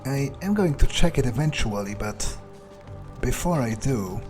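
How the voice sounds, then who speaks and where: Game roleplay: cocky, ruthless vampire, played by a male gamer, mid-pitched, casual halting musing, faint music behind. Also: lively intonation, lightly acted. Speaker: gamer roleplaying his character. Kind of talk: in-character video game playthrough.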